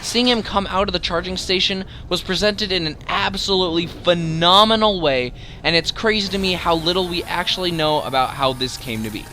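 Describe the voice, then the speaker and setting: Higher-pitched man, bright punchy voice, emphatic countdown commentary, enthusiastic delivery. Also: fairly quick, a little hiss or hum. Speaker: video creator and countdown host. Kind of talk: enthusiastic scripted top-ten commentary. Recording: music behind the voice. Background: music.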